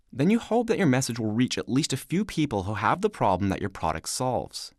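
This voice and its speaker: Animated male instructional narrator, dry sound. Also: medium pitch, dry recording. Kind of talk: scripted instructional narration, voice-over demo.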